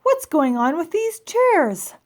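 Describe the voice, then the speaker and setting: A woman voicing a flustered, exasperated father in a children's storybook, with emphatic, drawn-out exclamations. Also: very high voice, big pitch swings, pitched above the performer's own voice, heavily acted. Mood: exasperated, shocked.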